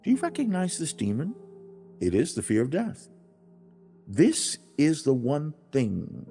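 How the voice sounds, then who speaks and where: A man's voice: mid-range voice, darkish tone, breathy edge, full character voice. Man voicing an emphatic, stern fatherly God over music. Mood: grave, warning. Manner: emphatic, deliberate, preaching with dramatic pauses. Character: God speaking as a stern, loving, earnest father. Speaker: audiobook narrator. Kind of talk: dramatized religious audiobook narration.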